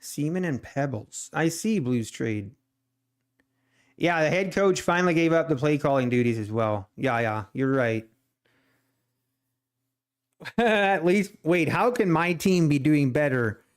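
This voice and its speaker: A man's voice: a little high, expressive, a little slow, pauses often. A man from Ohio with a Swiss German accent, chatting casually on a day trading live stream and ranting with plenty of restarts and repeats. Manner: rambling, repeats himself, exasperated ranting.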